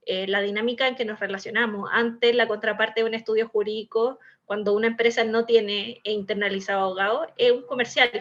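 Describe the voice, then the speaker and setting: A woman with a fairly high voice and a Chilean accent explains her views as an in-house lawyer on a panel. She talks in a discursive way, full of "eh" fillers and restarts. The sound is somewhat muffled and lo-fi. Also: steady tone, fairly quick, few pauses, a bit bright. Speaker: in-house legal manager, panelist.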